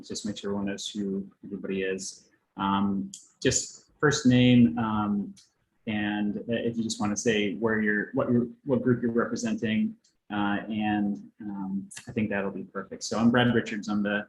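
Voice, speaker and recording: A man chairing an informal online meeting, speaking in a hesitant, casual and accommodating way. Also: lowish voice. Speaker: meeting chair, medical director. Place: indoor room on video call. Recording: roomy echo, faint noise.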